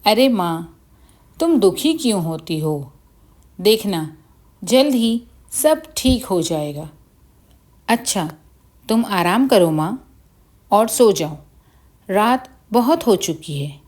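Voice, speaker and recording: Kind poor village lad , played by a female narrator, slow, reassuring, dutiful, bedtime-tale delivery. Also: mid-range voice, big pitch swings. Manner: gentle, reassuring, earnest.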